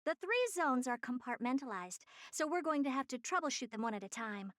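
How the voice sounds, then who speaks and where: A woman's voice: high-pitched, very animated, a little fast, fairly bright tone. An actress voicing a self-assured genius woman scientist in a video game, with a haughty, offhand, dryly dismissive manner. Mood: breezy confidence.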